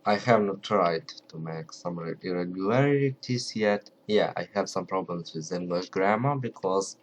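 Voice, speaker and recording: A man with a Ukrainian accent, English not his first language, talking quietly and carefully to camera and often pausing to search for words. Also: low voice, slight background noise. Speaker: video creator. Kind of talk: casual talk to camera.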